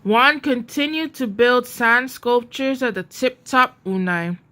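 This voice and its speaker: A woman reading a children's story aloud in a deliberate, expressive way. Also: fairly high pitch. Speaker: storybook reader. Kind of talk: scripted children's story narration.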